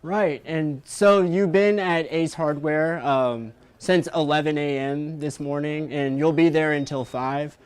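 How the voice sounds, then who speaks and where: A young man hosting a live news interview, asking questions in measured, hesitant phrases. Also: fairly high pitch, steady tone, smooth. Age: young adult. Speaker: digital news host, interviewer.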